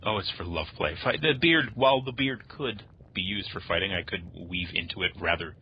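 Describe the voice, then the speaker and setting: A man chatting casually as an interview guest, joking wryly and haltingly. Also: low voice. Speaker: guest author. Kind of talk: casual humorous interview chat. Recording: dull lo-fi audio, faint noise.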